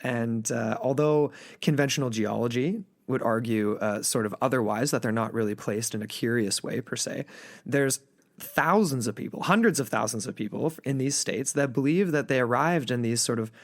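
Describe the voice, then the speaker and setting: A man's voice: medium pitch, moderate pace, no room sound, studio quality. A man co-hosting a podcast, chatting casually and rambling with lots of fillers and restarts. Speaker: podcast co-host. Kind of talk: casual chat.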